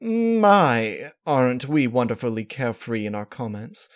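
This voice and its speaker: A man's voice: mid-range voice, expressive, a little slow, close to an everyday voice. A man voicing a refined female cartoon unicorn dressmaker, prim, polite and dramatic in manner. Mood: flustered.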